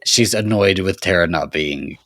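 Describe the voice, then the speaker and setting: A man's voice: low-pitched, moderate pace, fairly bright tone, no echo, studio quality. A man co-hosting a casual recap chat, making playful, wry comments. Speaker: podcast co-host.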